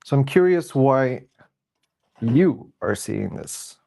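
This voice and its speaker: A man's voice: mid-range voice, animated, takes it slowly, creaky, dark tone. Sniffly man chatting on a livestream. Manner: chatty, greets viewers by name. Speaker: livestream host. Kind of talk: casual live-stream chat while working.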